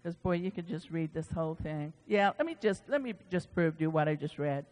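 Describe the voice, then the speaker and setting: A man's voice: on the high side, animated, a little fast, darkish tone. A man preaches in a long, ringing hall reverb. His delivery is conversational, with folksy asides. He repeats phrases for emphasis as he works through scripture. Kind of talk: informal sermon with scripture reading.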